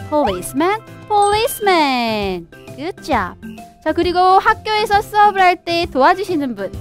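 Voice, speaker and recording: A young woman, native in Korean, guides children through a storybook English lesson. Her voice is extremely high and swings widely in an animated, exaggerated way. She speaks very slowly, as a teacher does for small learners. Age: young adult. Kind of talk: children's storybook English lesson, switching between two languages.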